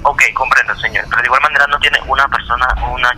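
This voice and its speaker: A man with a Panamanian accent working as a debt collection agent on the phone, formal, repetitive and halting. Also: medium pitch, fairly even intonation. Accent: Panamanian Spanish. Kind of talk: customer service phone call. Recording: sharp, slightly noisy telephone line.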